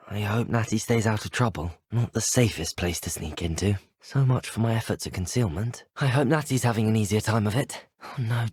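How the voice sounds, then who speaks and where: A man's voice: lowish voice, slightly rough, dry recording, clean studio sound. A young man with a British accent voicing a polite, plucky, well-spoken teenage student wizard in a video game. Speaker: video game player character voice. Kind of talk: scripted game voice lines.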